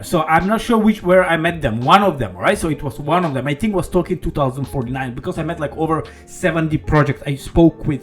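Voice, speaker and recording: A man walking viewers through a crypto game on screen, eager and rambling, with non-native English phrasing and constant "okay"s. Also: on the high side. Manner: eager, rambling, peppered with "okay" and "all right". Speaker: crypto YouTuber. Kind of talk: casual screen-share walkthrough.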